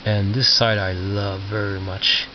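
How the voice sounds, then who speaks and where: A man giving casual, unscripted commentary as a record collector, halting and muttering asides to himself. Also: on the low side, flat delivery. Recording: lo-fi, noisy, distant mic with clicks.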